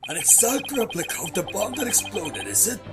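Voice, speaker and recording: A woman's voice: mid-range voice, wildly swooping pitch, a little fast. A woman voicing an elderly, easily flustered male judge in a game fan dub, with a pompous, old-fashioned, exclamatory way of speaking. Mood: pompous, inquisitive. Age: older. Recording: music under the voice. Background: music.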